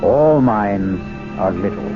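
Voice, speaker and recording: A man hosting a radio mystery drama, reading scripted lines in a measured, declamatory way. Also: on the low side, animated. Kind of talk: scripted theatrical narration. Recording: dull lo-fi old radio with music.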